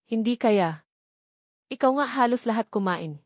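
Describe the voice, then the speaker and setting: A woman's voice: fairly high pitch, expressive, unhurried, a little mellow, no room sound, studio quality. A woman with a Filipino accent voicing a sulky, jealous young wife who talks in a plain-spoken, pouty way. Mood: pleased.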